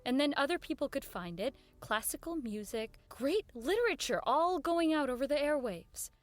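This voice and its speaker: A woman's voice: sits high, expressive, normal speed, soft background music. A woman acting in a radio drama as an earnest, idealistic broadcaster, restrained and a little hesitant. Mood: hopeful, fervent.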